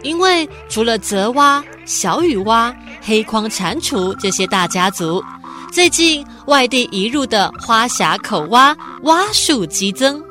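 A woman narrator tells a storybook-style radio tale. Her voice is bright and fairly high with lively swings in pitch, over light music. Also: unhurried.

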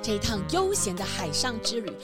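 A woman's voice: on the high side, sing-song, fairly quick, over music. A woman narrating a scripted children's mystery story as storyteller.

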